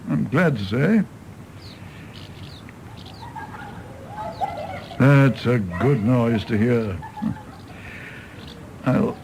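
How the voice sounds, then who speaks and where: A man's voice: on the low side, creaky, darkish tone, slight background noise, poor audio. A man with an English accent playing a rueful, tippling elderly doctor in a radio drama, wry and rambling. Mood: self-excusing. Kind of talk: stage play performed as broadcast drama.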